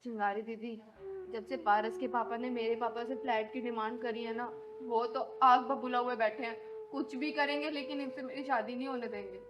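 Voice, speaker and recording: A woman's voice: on the high side, steady tone, moderate pace, natural voice. A young woman playing an earnest, pleading runaway bride in a Hindi moral drama.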